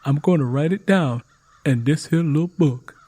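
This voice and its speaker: An eager, pleading Southern country girl played by a man in an audiobook. The voice is pitched high and a little husky, with chatty, folksy rural phrasing. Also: moderate pace, warm tone, close to an everyday voice. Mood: earnest, chatty. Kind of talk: audiobook character voice.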